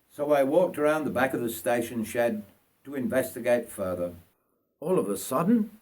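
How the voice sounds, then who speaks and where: An older man from North Yorkshire, England, reading his own life story aloud in a deliberate, dramatic way. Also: medium pitch, husky. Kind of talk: autobiographical story narration.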